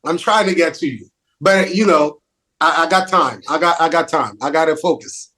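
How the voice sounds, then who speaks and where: A man with a Haitian accent tinged by New York, hosting a relaxed interview. His voice sits a touch high for a man, and he talks in an animated, self-deprecating way, often repeating his phrases. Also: moderate pace. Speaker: podcast host. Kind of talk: casual interview chat.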